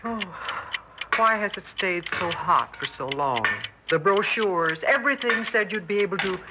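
A woman's voice: mid-range voice, expressive, moderate pace, natural voice. Actress as tense, protective mother, very noisy recording. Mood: frightened, resigned. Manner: tense, insistent, clipped. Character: fearful, hard, fiercely protective mother in a fallout shelter. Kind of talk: 1960s radio drama acting. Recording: very noisy, muffled old radio recording.

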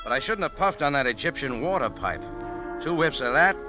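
An actor playing a wisecracking, hard-boiled cafe owner who narrates in a 1940s radio drama. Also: male voice, a little high, expressive, a little fast, muffled recording, music in the background, lightly acted. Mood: narrating. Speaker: lead and narrator.

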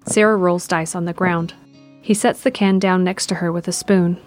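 A woman's voice: fairly low pitch, fairly even intonation, normal speed, faint music behind. A woman narrator reading scripted present-tense narration for an audio drama.

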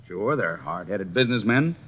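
An American actor playing a talkative small-town police sergeant in 1950s radio drama, plain-spoken and opinionated. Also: male voice, fairly low pitch, animated, normal speed, slightly rough. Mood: opinionated, earnest. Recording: tinny, noisy 1950s radio recording.

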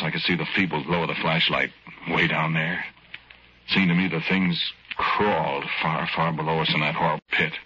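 A deep-voiced, gruff tough guy. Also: bright, forceful tone. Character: hard-bitten male crook narrating his ordeal. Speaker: narrator and lead. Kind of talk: 1940s radio drama narration. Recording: dull lo-fi old radio with hiss.

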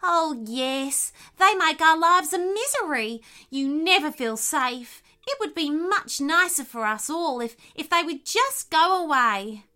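A woman's voice: sits high, expressive, measured pace, hardly any pauses, pushed and bright, pitched above the performer's own voice, heavily acted. A woman voicing a nervous little male potoroo, fretful and whimpering, in a children's story. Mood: miserable, fretful.

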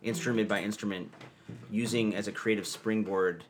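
A man's voice: on the low side, fairly even intonation, moderate pace, a little hiss or hum. A man hosting a casual interview with a band, playful and teasing, often laughing. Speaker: podcast host.

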